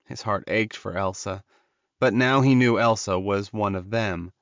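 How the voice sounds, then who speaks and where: A man's voice: on the low side, a little slow, dry recording. A man narrating a scripted fantasy story in the dramatic manner of an audiobook. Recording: dull, lo-fi audio.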